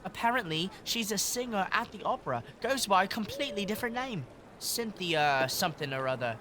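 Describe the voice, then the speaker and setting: Animated, guarded girl detective played by a woman. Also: medium pitch, brisk, crowd noise, close to an everyday voice. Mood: urgent. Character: self-reliant young girl detective, guarded and determined. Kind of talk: audio drama acting. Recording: clearly noisy background ambience.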